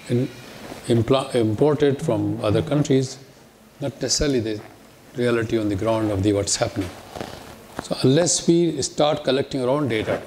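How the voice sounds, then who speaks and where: A man's voice: mid-range voice, darkish tone, slight background noise. An older man with a Pakistani accent, speaking as a panelist in a lecture hall, listing his points one by one with frequent restarts. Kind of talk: panel talk. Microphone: podium microphone.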